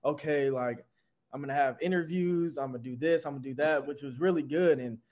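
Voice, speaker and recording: A man's voice: fairly high pitch, brisk, a little mellow. Casual young-sounding male interviewer. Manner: chatty, many "like" fillers.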